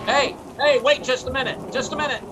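A man's voice: very high voice, fairly even intonation, brisk, background noise. A man playing an easygoing but officious motorcycle cop in an old-time radio suspense drama. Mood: official, easygoing. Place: indoors at home on a video call.

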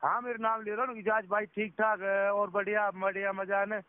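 A man's voice: high-pitched, little pitch movement, brisk, smooth. A man on a phone call, recounting an incident in a repetitive, agitated, casual way. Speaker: phone caller, a driver recounting being scammed. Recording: tinny phone call recording.

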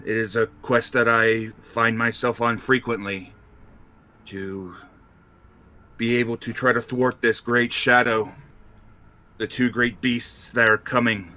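A man voicing a courteous, grave adventurer who speaks in a formal, deliberate way. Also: mid-range voice, level tone, bright, forceful tone. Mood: grave, respectful. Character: courteous, grave male adventurer seeking knowledge. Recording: music behind the voice.

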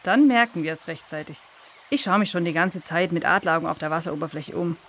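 A woman playing a calm, confident river researcher in a children's audio drama, matter-of-fact and reassuring. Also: lowish voice, quick pace, slight background noise.

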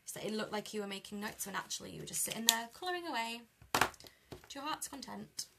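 Croaky, chatty young British woman. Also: medium pitch, animated, a little fast, heavy background noise. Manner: chatty, laughs easily while colouring. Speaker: card-making demonstrator. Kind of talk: live craft demo chatting with viewers. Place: indoor craft desk. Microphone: overhead camera over a desk.

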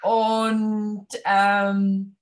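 A woman in her forties from Leipzig, a sewing teacher talking casually on a video call, relaxed with many fillers and hand gestures. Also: mid-range voice, very slow. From Leipzig, Germany. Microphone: webcam on a video call.

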